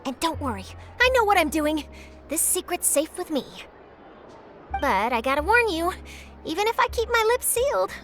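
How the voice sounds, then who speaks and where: A woman's voice: extremely high pitch, very animated, moderate pace, a bit bright, faint music behind, full character voice. A woman voicing an eager, chatty young female journalist in a video game, breezy and persuasive. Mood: animated, confiding. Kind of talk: video game character dialogue.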